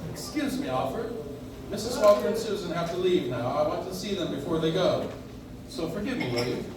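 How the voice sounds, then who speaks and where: A gentle, courteous old Santa figure, acted by a man in a live radio play. The voice is high for a man and swings in lively arcs. It reaches the listener through a very echoey room, caught from the audience. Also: moderate pace, lightly acted. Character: kindly, gentle elderly man believed to be Santa. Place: coffee house stage, recorded from the audience. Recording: distant audience recording, echoey, noisy room.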